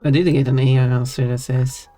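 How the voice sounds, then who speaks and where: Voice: mid-range voice, flat delivery, brisk, warm tone. A live streamer chatting casually while drawing, rambling and correcting themself with small giggles. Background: faint background music.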